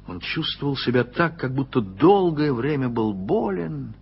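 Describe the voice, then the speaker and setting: A man giving calm, measured narration in a radio play. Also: mid-range voice, creaky, husky. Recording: dull old radio recording with soft music.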